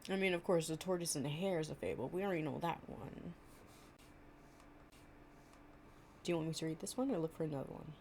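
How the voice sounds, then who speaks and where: A woman's voice: low-pitched, very fast, a little mellow, slight background noise. A young woman playing an affectionate, chatty girlfriend in an improvised, intimate storytime roleplay, with a casual, slangy and playful way of talking. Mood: amused, casual. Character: affectionate, chatty girlfriend reading fables to her partner.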